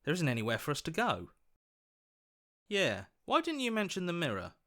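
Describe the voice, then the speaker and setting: A man voicing an earnest, hopeful young hero in a video game, expressive and quick to protest. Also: fairly high pitch, heavily acted. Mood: wary.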